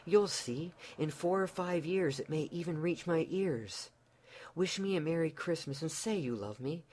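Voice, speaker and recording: A man's voice: fairly high pitch, a little slow, a little mellow, pitched above the performer's own voice. A man voicing an anxious, loving young wife in a story, pleading earnestly with her husband. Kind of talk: storybook character voice.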